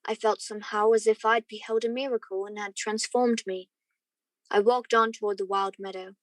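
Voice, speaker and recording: A woman playing an earnest, lovestruck young gentleman who narrates his romance in a radio play. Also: mid-range voice, level tone, moderate pace, no echo, natural voice. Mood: wistful.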